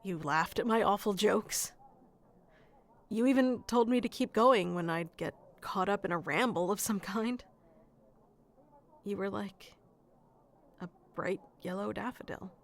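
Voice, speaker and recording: A woman's voice: medium pitch, unhurried, pauses often, slight background noise, lightly acted. A woman playing a shy, sweet, lovestruck florist in a romantic ASMR roleplay, speaking hesitantly with nervous stammers. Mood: tender, wistful.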